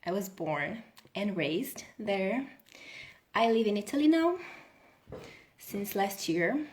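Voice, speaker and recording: A woman's voice: medium pitch, lively intonation, takes it slowly, a little mellow, a little hiss or hum. A woman in her thirties from Brazil, a healing coach talking casually on a live video and laughing often. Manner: chatty, laughs often, restarts sentences.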